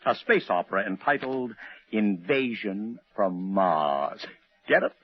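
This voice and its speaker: A brash, husky old-radio publicity hustler. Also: male voice, fairly low pitch, expressive, slow pace, no room sound, exaggerated voice. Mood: smug, confiding. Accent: American. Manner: slangy, breezy salesman talk. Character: brash, cynical male publicity agent, fast-dealing and self-satisfied. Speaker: actor in a radio drama. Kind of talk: old time radio drama acting. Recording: muffled vintage radio broadcast recording.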